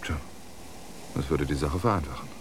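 A man's voice: rumbling low, moderate pace, background noise. A man playing a weary, stubborn man of eighty-six in a science fiction radio drama, dry and matter-of-fact. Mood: dry, resigned. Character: 86-year-old weary, stubborn, dry-witted male emigrant.

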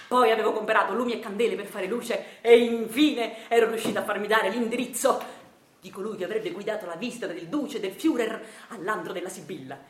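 A woman playing a self-styled intellectual husband who narrates a radio drama theatrically and deliberately, with a Neapolitan accent. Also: medium pitch, a bit bright, roomy sound, close to an everyday voice. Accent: southern Italian, Neapolitan. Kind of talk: theatrical scripted storytelling.

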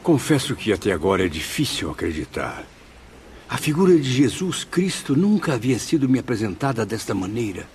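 A man's voice: medium pitch, very animated, normal speed, lightly acted. An actor playing an earnest penitent spirit telling his story in a radio drama, reverent and emotional. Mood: awed. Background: background music.

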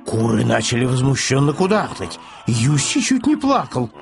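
A man's voice: medium pitch, sing-song, normal speed, creaky, faint music behind. A man reading a children's story audiobook as its narrator.

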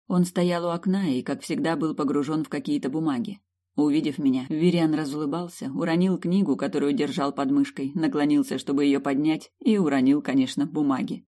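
An audiobook narrator reading first-person fantasy fiction in an expressive storytelling style. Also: medium pitch, fairly quick.

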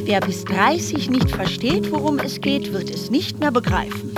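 A woman's voice: high voice, lively intonation, normal speed. An actress playing a lonely, wry, resigned woman of thirty in a literary radio drama. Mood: wry, deflated. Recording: loud music under the voice. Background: music.

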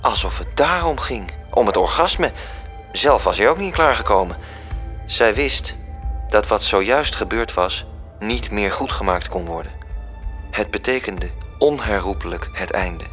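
Male radio-play narrator: low voice, formal, measured literary delivery, music underneath. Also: animated, fairly bright tone. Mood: measured, serious. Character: male storyteller reporting human affairs to his superior.

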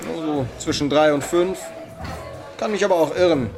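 An actor playing a gruff, sardonic café keeper in a radio crime drama. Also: male voice, a little high, normal speed, over music, lightly acted. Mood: gruff, defiant.

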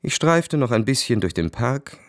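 An actor playing a proud, bored, cynical army officer who tells his story in a cool, measured, ironic way for a radio play. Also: mid-range voice, very animated, no echo, clean studio sound, lightly acted. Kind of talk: literary radio play narration and dialogue.

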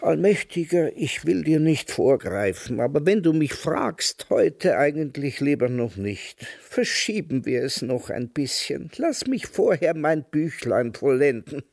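An actor playing a melancholy, sardonic old intellectual in a radio drama, speaking in a deliberate, ironic and literate way. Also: male voice, fairly high pitch, very animated, gravelly, a little mellow, no echo, heavily acted. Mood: melancholy, wry. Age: older.

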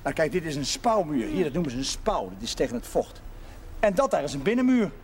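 Proud middle-aged labourer father, high voice with animated swings, period TV drama, faint noise. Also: a little fast. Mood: proud, explaining. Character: warm, proud working-class widowed father. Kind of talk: television period drama acting. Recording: faintly noisy 1970s television soundtrack.

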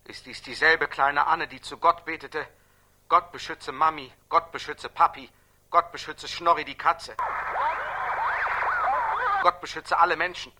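An actor playing an indignant, insistent interrogator in a stylized radio drama, articulating precisely and firing emphatic rhetorical questions. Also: male voice, a little high, steady tone, unhurried, long pauses, sharp tone, slight background noise, heavily acted, low-quality recording. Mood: incredulous. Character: indignant, insistent male interrogator probing a terrorist's case.

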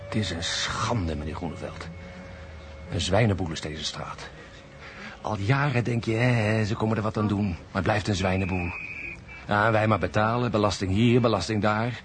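A man's voice: on the low side, moderate pace, noisy background, close to an everyday voice. An actor playing a stubborn, indignant male street vendor in a Dutch radio drama, complaining in colloquial, emphatic speech. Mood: grumbling.

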